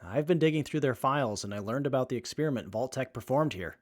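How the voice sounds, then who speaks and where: A man acting as an earnest, capable young survivor with a measured, methodical manner in a post-apocalyptic audio drama. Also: mid-range voice, expressive, lightly acted. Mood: grave.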